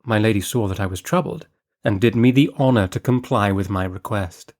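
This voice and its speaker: A man reading a Victorian short story aloud as an audiobook, with a measured, earnest, formal delivery. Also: on the low side. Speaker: audiobook reader.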